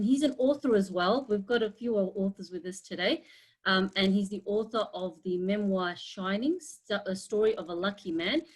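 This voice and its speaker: A woman hosting an online webinar over a video call, introducing guests with many hesitant fillers. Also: mid-range voice. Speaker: webinar moderator.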